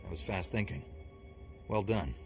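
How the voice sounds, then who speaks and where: Stern Jedi Knight, fairly deep, controlled, formal, video game dialogue. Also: male voice, expressive, normal speed, soft background music. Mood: composed. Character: stern male Jedi Knight hero, terse and commanding.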